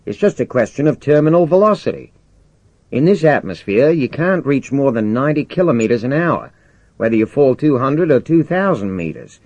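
A man acts out a male spaceship commander for an audiobook. He is brisk, assured and persuasive, used to giving orders. The voice is pitched up and projected, in a very dry recording. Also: big pitch swings, darkish tone, no room sound, muffled recording. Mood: brusque, instructive. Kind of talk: audiobook character dialogue.

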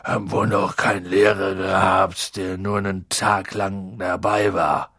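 A man voicing a huge, tipsy, emotional gamekeeper in an audiobook, with a gruff, slurred voice and colloquial speech. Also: low voice, flat delivery, measured pace, fairly bright tone, no room sound, studio quality, voice pushed down, exaggerated voice. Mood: slurred, glum. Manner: colloquial, dropping words, swinging into bellows.

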